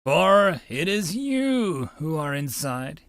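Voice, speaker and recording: A man's voice: fairly high pitch, very animated, takes it slowly, fairly bright tone, dry recording, studio quality, exaggerated voice. A man voicing a grandiose young mad scientist from a visual novel, theatrical, pompous and condescending. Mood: pompous, menacing.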